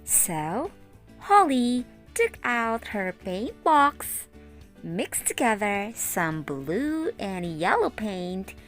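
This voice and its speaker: A woman teacher narrating a children's storybook aloud in a deliberate, expressive way. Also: medium pitch, soft background music. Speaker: teacher reading stories aloud.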